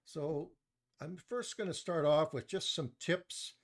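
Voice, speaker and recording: Soft-spoken man presenting a market review. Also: fairly high pitch. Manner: measured, with frequent "uh" and restarts. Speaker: presenter of a stock market webinar. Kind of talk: live online presentation, reading chat comments. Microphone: desktop mic.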